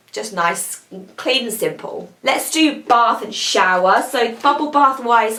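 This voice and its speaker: A young British woman with a croaky voice from a cold, chatting casually as she reviews beauty products she has used up. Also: mid-range voice, fairly bright tone, reverberant. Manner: chatty, rambling, upbeat. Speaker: beauty vlogger.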